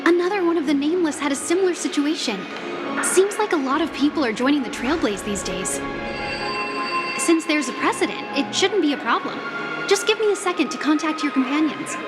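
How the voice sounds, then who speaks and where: A polite hotel receptionist in a game cutscene who stays courteous and apologetic. Her voice sits high for a woman. Music plays behind her. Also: animated, a little fast.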